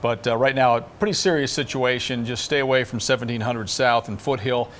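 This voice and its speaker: A man's voice: mid-range voice, fairly quick, fairly bright tone, a little hiss or hum. A man anchoring live breaking news, speaking in an ad-libbed, conversational way.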